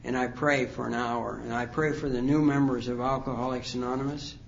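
A man in his sixties telling his recovery story at a conference, rambling and halting with many fillers. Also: mid-range voice, level tone, gravelly, highs cut off, a little hiss or hum. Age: 60s. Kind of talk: personal testimony talk. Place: conference hall.

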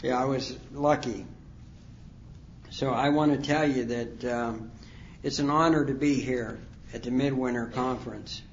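A man in his sixties telling his recovery story at a conference, rambling and halting with many fillers. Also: medium pitch, steady tone, highs cut off, a little hiss or hum. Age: 60s. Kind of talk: personal testimony talk. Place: conference hall.

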